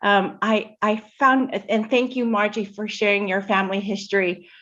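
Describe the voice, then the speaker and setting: A woman's voice: medium pitch, fairly even intonation, moderate pace, a bit bright, dull audio. A middle-aged woman from Hawaii giving an informal personal talk as an invited speaker at a community event.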